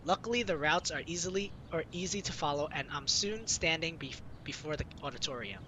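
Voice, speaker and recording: A man's voice: a little high, expressive, fairly quick, noisy background. A man hosting a gaming video, reading visual novel narration aloud informally, with casual asides and self-corrections. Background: game music.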